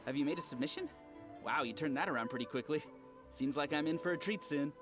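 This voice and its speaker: A man's voice: on the high side, fast talker, music in the background, close to an everyday voice. A man playing an eager, gossipy young townsperson in a video game, casual and enthusiastic. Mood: impressed. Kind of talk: video game character acting.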